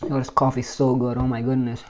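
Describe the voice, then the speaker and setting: A man's voice: medium pitch, completely flat delivery, brisk, dull audio. A man in his forties from Brooklyn, New York, casually telling his life story with many fillers and restarts. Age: 40s.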